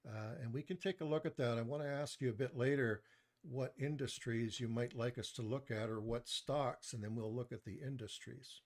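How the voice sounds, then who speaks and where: A soft-spoken man giving a live online stock market review into a desktop mic, measured, with frequent pauses and restarts. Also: medium pitch, steady tone. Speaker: presenter of a stock market webinar. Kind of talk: live online presentation, reading chat comments.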